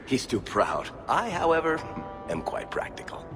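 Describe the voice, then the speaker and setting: An actor playing a roguish, smooth-talking male dwarf storyteller in a video game, with a wry, theatrical, persuasive way of talking. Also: fairly high pitch, huge pitch swings, normal speed. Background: game music.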